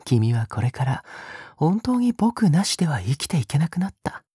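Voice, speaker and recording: A woman acting as an obsessive young man with a low, very breathy voice, recorded close and dry. He speaks as a possessive captor in an intimate Japanese audio drama, coaxing and gently menacing, with murmurs that swing widely in pitch and sink into whispers. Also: big pitch swings, moderate pace, dry recording, heavily acted. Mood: scolding, controlling. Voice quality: breathy, husky.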